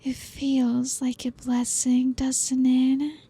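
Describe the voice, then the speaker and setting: A young woman voicing a possessive, ancient female vampire in an ASMR roleplay, breathy and whispery, teasing slowly with soft laughs. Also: high voice, monotone, exaggerated voice.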